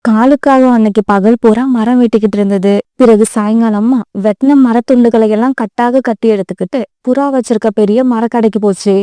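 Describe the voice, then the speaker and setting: A woman's voice: fairly high pitch, fairly even intonation, a little fast, hardly any pauses, mellow, no room sound, clean studio sound. A woman narrating a children's moral story as a scripted voice-over.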